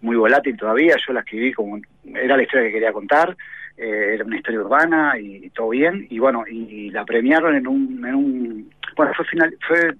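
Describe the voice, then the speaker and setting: A man's voice: medium pitch, a little fast, slightly rough, dry recording. A man in his forties with an Argentine accent, a novelist being interviewed on the radio, who talks thoughtfully with lots of hesitations and tag questions. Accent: Argentine Spanish. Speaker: novelist guest. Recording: tinny, phone-like, dry radio sound.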